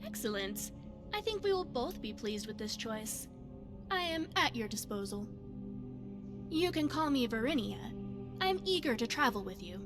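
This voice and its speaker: Woman playing high-voiced, lilting, secretive female spy. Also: very animated, over music. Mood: composed, courteous. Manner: formal, measured, deliberate phrasing. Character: poised, secretive female spy devoted to her goddess. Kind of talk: scripted video game character dialogue.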